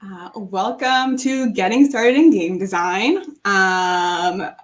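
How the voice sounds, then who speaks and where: A woman's voice: medium pitch, slow. A woman from New Jersey moderating a casual video-call panel, talking loosely with frequent ums and fillers.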